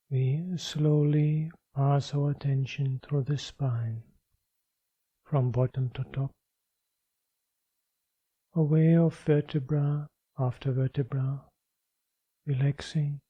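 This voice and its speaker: A man leading a guided meditation as a teacher, speaking calmly and in measured short phrases with long pauses. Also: medium pitch, slightly rough, no echo, dull audio.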